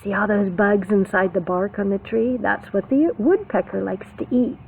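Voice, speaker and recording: A woman preschool teacher reading a picture book aloud to young children, expressive, with simple explanations and questions. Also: mid-range voice, normal speed, creaky. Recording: phone-like narrow band, faint background noise.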